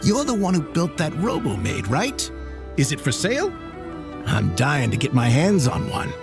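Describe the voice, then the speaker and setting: Scheming male merchant, gravelly creak, eager, wheedling, animated pitch, video game cutscene. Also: normal speed, exaggerated voice. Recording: music behind the voice.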